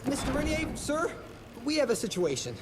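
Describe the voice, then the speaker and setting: A woman's voice: sits high, big pitch swings, normal speed, background noise. A woman voicing an anxious, sheepish lab underling in a video game, hesitant and apologetic. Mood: nervous. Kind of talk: video game cutscene acting. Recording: loud music under the voice.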